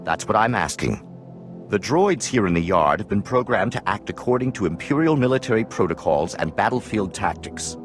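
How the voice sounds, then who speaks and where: An actor playing a composed military instructor in a video game, formal, measured and authoritative. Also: male voice, fairly low pitch, lively intonation, music in the background, lightly acted. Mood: composed authority. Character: composed male military instructor briefing a trainee. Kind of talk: video game dialogue acting.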